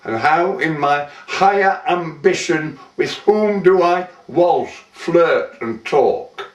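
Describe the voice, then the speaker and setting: Man reciting as a wistful young heiress, slow, echoey. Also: fairly high pitch, pitched above the performer's own voice. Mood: proud, playful. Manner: theatrical, measured, with lingering pauses. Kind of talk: dramatic poetry recitation. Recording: roomy echo, distant microphone.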